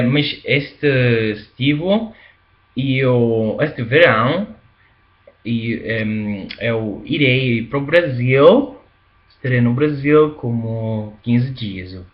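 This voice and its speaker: A young man speaks in a language he learned, with a non-native accent. He talks slowly, pausing on fillers and repeating words as he searches for them. His voice sits in a middle register and the recording is slightly dull. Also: medium pitch. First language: not Italian, Portuguese or Thai. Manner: slow and hesitant, many fillers and restarts. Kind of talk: casual spoken language demonstration.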